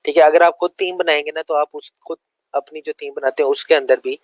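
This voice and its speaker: A man's voice: fairly high pitch, fairly even intonation, brisk, dry recording. Man teaching with a sore throat. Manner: loose, conversational teaching with many fillers. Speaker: web development tutor. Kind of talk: live screen-share coding lesson.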